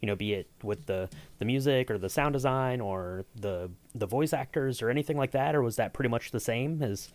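A man's voice: medium pitch, brisk, few pauses, darkish tone. A man hosting a conversational podcast interview, asking wordy, roundabout questions. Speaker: podcast host interviewing a writer.

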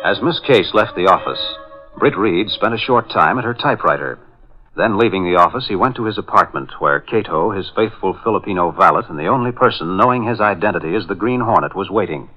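A man's voice: low-pitched, animated, a little fast, lo-fi sound, soft background music. An American man announcing and narrating in formal, emphatic, scripted 1940s radio style.

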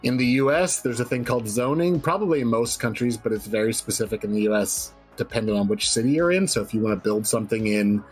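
A man's voice: medium pitch, fast talker, few pauses, husky. Male podcast host from the US, mid-range voice, casual talk over faint music.

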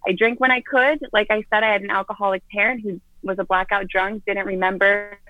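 A woman's voice: mid-range voice, little pitch movement, a little fast, no echo. Candid woman on a lo-fi call. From Florida. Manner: candid, earnest. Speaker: guest. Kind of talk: personal story in an interview. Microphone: video call. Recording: dull lo-fi video call.

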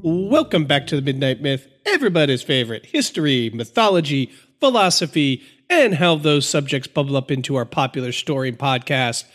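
An American man co-hosting a podcast in casual chat, sounding congested, a little nasal and gravelly from a sinus infection, with a cheeky, upbeat way of talking. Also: mid-range voice, sing-song, brisk, few pauses, fairly bright tone, soft background music. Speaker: podcast co-host.

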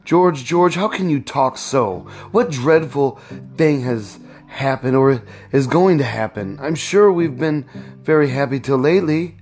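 A man's voice: medium pitch, measured pace, soft background music, natural voice. A man reading aloud and voicing a young mother who is anxious and tender, speaking in a pleading, emotional way.

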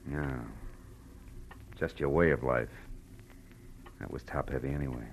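An American actor playing a blunt, shrewd, world-weary private detective in a clipped, wry 1940s radio style. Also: male voice, rumbling low, a little slow, background noise. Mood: cool, probing. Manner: clipped, tough, wry delivery. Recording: vintage radio recording with faint music.

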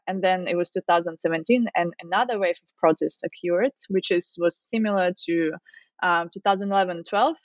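A woman's voice: mid-range voice, no room sound, highs cut off. A woman from Russia in her thirties, a researcher answering interview questions thoughtfully, with frequent fillers and restarts. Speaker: guest author, postdoctoral researcher.

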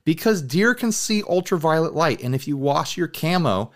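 A man chatting casually as a podcast co-host, telling stories in an animated way and slipping into mock quotes. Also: a little high, normal speed. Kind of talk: casual chat about hobby gear.

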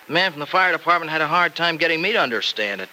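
An actor playing a grateful young farm husband in a radio soap, with an American accent and an exclamatory, folksy manner. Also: male voice, a little high, animated, very fast, sharp tone. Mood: explaining. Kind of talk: 1950s radio soap opera acting. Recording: noisy old radio recording.

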